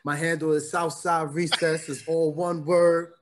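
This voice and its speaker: A man's voice: on the high side, level tone, measured pace, slight background noise. An American man co-hosting a podcast, talking in casual slang. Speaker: podcast co-host.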